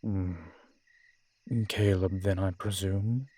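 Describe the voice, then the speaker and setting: A man playing an urbane, centuries-old vampire in an audio roleplay, with formal, measured phrasing and dry condescension. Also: sits low, little pitch movement, a little mellow, no room sound. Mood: disdainful. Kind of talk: scripted audio roleplay drama.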